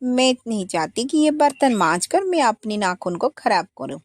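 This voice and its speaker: A woman's voice: on the high side, sing-song, normal speed, no echo. A woman voicing a lazy, vain young wife who whines to get out of chores. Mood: whiny. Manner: whiny, pleading.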